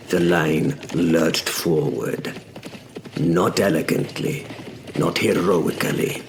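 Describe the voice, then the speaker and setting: Knight narrator, male actor: young, reflective, solemn audio drama storytelling, slow delivery, heavy vocal fry, noisy backdrop. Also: exaggerated voice. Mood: grave, tense. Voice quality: low, gravelly. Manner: measured, solemn, hushed storytelling with deliberate pauses. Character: young male knight William, reflective, brave yet frightened. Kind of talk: scripted first-person dramatic narration. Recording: clear background noise and ambience.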